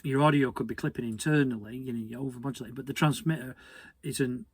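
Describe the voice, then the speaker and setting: A British man giving an informal technical explainer in a conversational, hesitant way, often repeating words, with a husky, gravelly voice. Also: medium pitch, fairly even intonation, quick pace, no echo. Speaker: hobby radio presenter.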